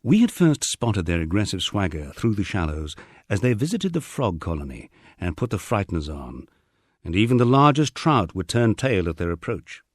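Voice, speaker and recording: A man's voice: deep voice, sing-song, normal speed, dry recording, clean studio sound. A man reading a humorous memoir as an audiobook, with a wry storytelling delivery. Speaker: audiobook narrator. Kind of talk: scripted narration of a humorous memoir.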